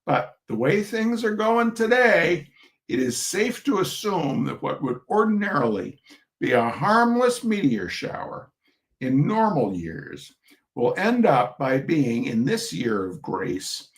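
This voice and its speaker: A man reading comic essays aloud to listeners in a theatrical, expressive way, breaking off for chatty, wry asides. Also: fairly high pitch, gravelly. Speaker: reader of humorous essays.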